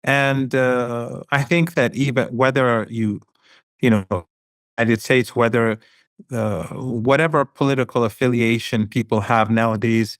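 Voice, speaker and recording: Middle-aged professor guest, measured man. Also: mid-range voice, steady tone, dry recording, studio quality. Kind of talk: casual interview answers.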